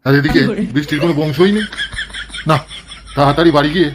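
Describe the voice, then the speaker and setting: A man's voice: on the high side, fast, noisy background, lightly acted. A man voicing a grumpy, crude middle-aged village husband in a cartoon comedy, who swears and complains constantly. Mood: cranky.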